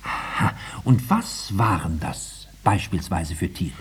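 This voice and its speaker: An actor playing a condescending, clinical psychiatrist in a 1950s radio drama, dropping into drawn-out murmurs. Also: male voice, sits low, lively intonation, breathy edge, full character voice. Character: condescending male psychiatrist questioning his patient. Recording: noisy 1950s radio recording with clicks.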